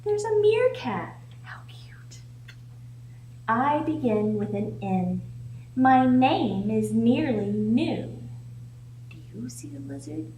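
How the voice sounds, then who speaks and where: Female storytime reader, slightly high voice, very slow and encouraging delivery for young kids, recorded in an echoey room. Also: big pitch swings, warm tone. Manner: encouraging, slow, sing-song prompting of young listeners. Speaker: library storytime host reading a picture book aloud. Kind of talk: read-aloud for young children with prompting questions. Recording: echoey room with faint background noise.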